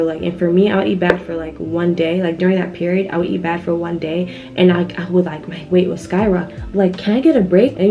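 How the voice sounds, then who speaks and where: A woman's voice: lowish voice, dark tone, highs cut off. A young woman chatting casually to her viewers as a vlogger, in an informal, rambling, slangy way with lots of filler "like". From Ghanaian background. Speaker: YouTube vlogger. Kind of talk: casual chat to viewers, personal storytelling.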